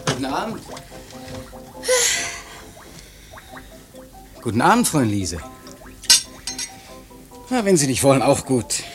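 Casting: male actor as a young male painter, bitter and boastful, swinging pitch, music behind, radio drama. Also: sing-song, normal speed, natural voice. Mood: needling. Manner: boastful, insistent, taunting. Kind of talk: German radio play acting.

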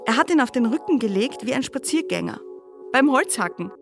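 Woman psychoanalyst, higher voice, reflective, rhetorical, radio drama, music behind. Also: very animated, fairly quick, gravelly, a bit bright, full character voice. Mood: musing, bemused. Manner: conversational, pondering, rhetorical questions. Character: reflective female psychoanalyst, musing wryly on history.

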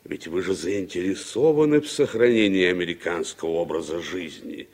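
A man's voice: sits low, moderate pace, a little mellow. An actor playing a stern, humourless committee chairman in a formal, imperious manner, in 1960s Soviet radio drama style. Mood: probing. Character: stern, humourless American congressional committee chairman interrogating a witness.

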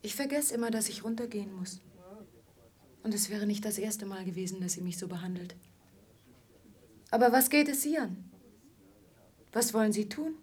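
A weary young female musician, played by a radio actress, speaks softly in a breathy voice. She is reserved and plain-spoken, wary of whoever addresses her. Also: medium pitch, moderate pace, pauses often, close to an everyday voice. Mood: wary, guarded. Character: weary, guarded young female café musician, ill-treated. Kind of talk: German radio drama acting.

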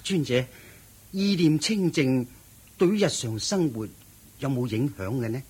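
An actor playing an eager, admiring young lay disciple, deferential and naive, speaking Hong Kong Cantonese in a radio drama. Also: male voice, medium pitch, animated, unhurried, darkish tone, slightly rough, rough recording. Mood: curious, respectful.

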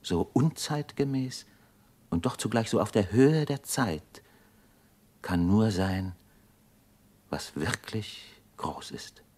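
An actor playing a thoughtful doctor in a German radio drama, speaking with reflective intensity and awe. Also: male voice, fairly low pitch, expressive, takes it slowly, pauses often, darkish tone. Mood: awed, fervent. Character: thoughtful doctor, awed by revolutionary upheaval.

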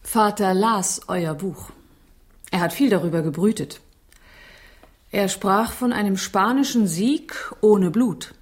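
Actress as a poised gentlewoman, medium-pitched. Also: takes it slowly, natural voice. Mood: composed, proud. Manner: formal, poised. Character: composed, proud gentlewoman hostess, daughter of a poet. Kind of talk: radio drama acting.

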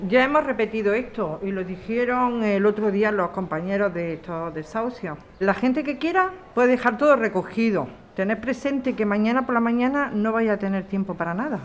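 Give this to an actress playing a young woman in an audio drama, an activist among her neighbours. She is direct and insistent, with a voice of ordinary female pitch. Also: moderate pace, few pauses, a little hiss or hum, natural voice. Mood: instructing. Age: young adult. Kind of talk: scripted audio drama acting.

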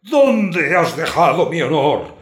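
An actor playing an outraged, pompous count and father, roaring his verse with drawn-out words. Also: on the high side, very animated, a little hiss or hum. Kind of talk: comic verse radio theatre. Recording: roomy echo.

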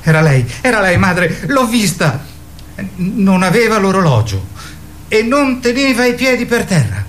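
A man playing a naive, earnest young boy who speaks in a halting, wondering, childlike way in a radio drama. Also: high-pitched, sing-song, a bit bright, a little hiss or hum, exaggerated voice. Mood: excited, insistent. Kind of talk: theatrical radio drama acting.

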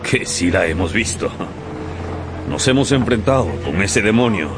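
Male actor, haunted sea captain, very low pitch, dramatic, superstitious, radio drama, background music. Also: expressive, normal speed, lightly acted. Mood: awed, bitter. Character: haunted, superstitious whaling ship captain, awed and warning.

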